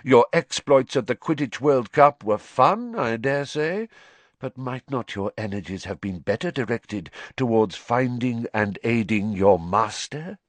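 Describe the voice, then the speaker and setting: A cold, cruel dark lord, as a man puts him on, speaking softly with measured, lazy menace. His voice sits a little raised and hushed, rising and falling a lot across each line, in a dry storybook reading. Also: mid-range voice, expressive, dry recording.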